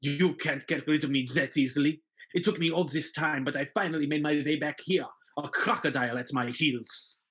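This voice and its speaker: A man's voice: a little high, moderate pace, a bit bright, slightly rough. A man playing a gloating, pompous villain with a menacing, melodramatic delivery in a stage musical reading.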